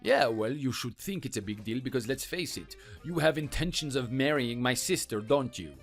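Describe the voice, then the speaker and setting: A man's voice: medium pitch, moderate pace, faint music behind, lightly acted. Man voicing a sneering French mechanic. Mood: scornful. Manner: taunting, contemptuous.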